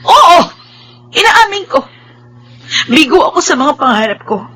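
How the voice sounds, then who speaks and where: A woman's voice: very high voice, sing-song, measured pace, sharp tone, heavily acted. An actress playing a bitter, resentful young woman in a Filipino radio drama, with a scornful, emotional delivery. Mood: anguished, upset. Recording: noisy radio drama recording.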